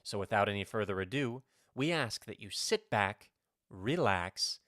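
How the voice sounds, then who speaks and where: A man's voice: lowish voice, animated, a little slow, fairly bright tone, dry recording, clean studio sound. A man giving a scripted introduction as host of a vintage-style radio show. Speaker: host, announcer.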